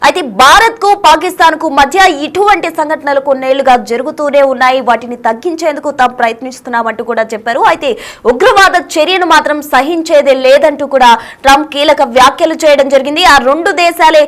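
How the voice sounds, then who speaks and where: High-pitched, fast-talking Telugu woman newsreader. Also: a bit bright. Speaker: news presenter. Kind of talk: news commentary.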